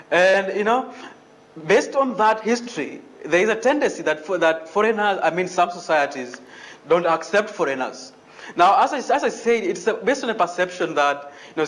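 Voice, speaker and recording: A young man from Uganda speaks in a measured, careful way and often pauses on "you know" while he answers questions. His voice sits a little higher than most men's. He talks as a student leader in a panel interview. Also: a bit bright, low-quality recording. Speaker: student association president.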